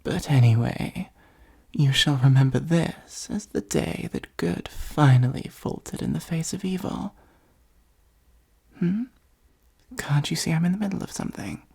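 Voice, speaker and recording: Supervillain for ASMR roleplay, acted by a performer: soft, dark and creaky, breathy near-whisper, pompous monologuer with sardonic asides. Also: medium pitch, expressive, unhurried. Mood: gloating, pompous, then exasperated, sardonic. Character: theatrical gloating supervillain, secretly fond of the hero.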